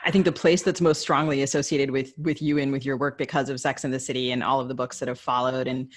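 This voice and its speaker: A woman with an American accent hosts a podcast interview in an informal, chatty way. She builds long questions out of personal asides and gentle self-mockery. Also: rumbling low, level tone, quick pace. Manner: wordy, self-deprecating, informal.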